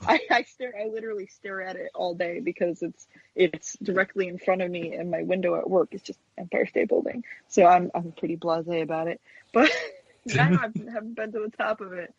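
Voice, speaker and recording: A woman's voice: lowish voice, brisk, gravelly, husky, no echo, highs cut off. An American woman chatting casually as an interview guest, telling anecdotes in a hesitant, rambling way peppered with "you know". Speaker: guest, stop-motion puppet animator.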